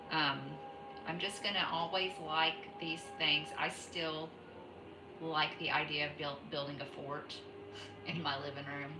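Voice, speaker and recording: A woman's voice: low voice, recorded from a distance. A middle-aged woman chatting casually while knitting on a craft livestream, rambling and interrupting herself often. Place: home. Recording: echoey room, voice off-mic, music behind.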